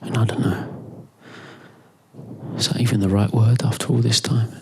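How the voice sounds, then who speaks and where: Guy doing a quiet dramatic monologue live, lowish voice, halting n hushed, noisy recording. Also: little pitch movement. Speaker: writer and performer of a monologue. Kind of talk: intimate scripted dramatic monologue, live performance. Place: live performance in a club.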